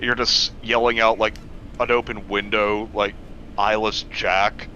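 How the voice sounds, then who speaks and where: Muffled lo-fi guy with a sharp bright voice, joking around on a podcast, faint noise behind. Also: on the low side, flat delivery, unhurried. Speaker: podcast co-host. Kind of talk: casual comedic banter.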